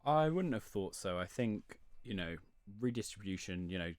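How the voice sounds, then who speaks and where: Male discussion guest, deep voice, measured and tentative, conversational explainer, very dry recording. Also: lively intonation, no room sound. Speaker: podcast guest.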